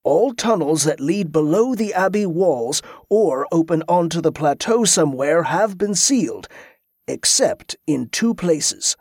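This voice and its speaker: A man reading an audiobook, voicing a calm monk who speaks in a formal, measured way. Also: fairly high pitch, husky, no room sound, clean studio sound, heavily acted. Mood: explaining.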